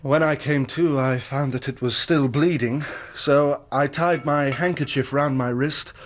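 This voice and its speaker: Actor as a nervy, formal English engineer. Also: male voice, mid-range voice, steady tone, unhurried, a little hiss or hum, lightly acted. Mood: shaken, earnest. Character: injured young male hydraulic engineer, overwrought but polite. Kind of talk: period radio drama acting.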